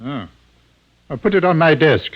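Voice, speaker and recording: An actor playing a terse, unimpressed British police inspector in an old-time radio drama. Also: male voice, fairly high pitch, lively intonation, moderate pace, lightly acted. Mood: curt. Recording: very noisy, muffled vintage radio recording.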